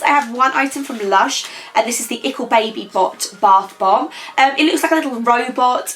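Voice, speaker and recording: Young woman, bit croaky, rambly beauty chat with lots of ums, fairly high voice, faint hum behind. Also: fairly bright tone, low-quality recording. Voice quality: slightly croaky. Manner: chatty, rambling, frequent ums. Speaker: beauty vlogger. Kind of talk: casual product review chat. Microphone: camera.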